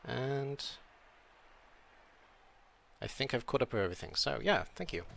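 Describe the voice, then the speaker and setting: A young British man from Wales with a slight European tilt to his accent, chatting casually while he streams a game, reading chat and thinking out loud. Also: mid-range voice, very animated, measured pace, gravelly, slight background noise. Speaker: streamer playing a video game. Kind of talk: casual live-stream commentary.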